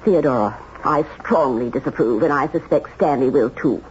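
A woman's voice: very deep voice, moderate pace, a little mellow. An actress playing a disapproving middle-aged mother in a 1970s radio drama, scolding emphatically and dramatically. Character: disapproving, scandalized mother of a grown daughter. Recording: muffled 1970s radio broadcast, some hiss.

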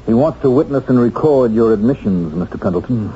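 An actor playing a wronged ex-convict in a 1970s radio drama, accusing firmly and deliberately. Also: male voice, medium pitch, lively intonation, mellow, close to an everyday voice. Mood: controlled, cold. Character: wronged ex-convict bookkeeper, resolute accuser clearing his name. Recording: dull, noisy old radio recording.

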